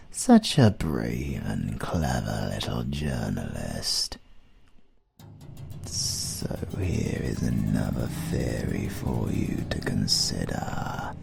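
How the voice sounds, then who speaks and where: A British man playing an ancient, suave vampire lord in an intimate horror audio roleplay, menacing and flirtatious, with deliberate, teasing delivery that often drops to a whisper. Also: rumbling low, creaky, warm tone, a little hiss or hum, full character voice. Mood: taunting, then seductive threat.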